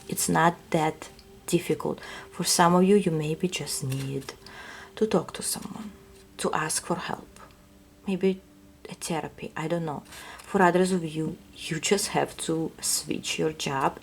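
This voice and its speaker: Low, gravelly Russian-accented woman. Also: slight background noise. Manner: soft-spoken, hesitant, often drops to a whisper. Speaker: tarot reader. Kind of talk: intuitive reading to viewers.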